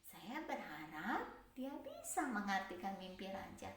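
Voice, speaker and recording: A woman voicing a timid male royal cupbearer who speaks softly and carefully. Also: medium pitch, wildly swooping pitch, unhurried. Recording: roomy, mic at a distance.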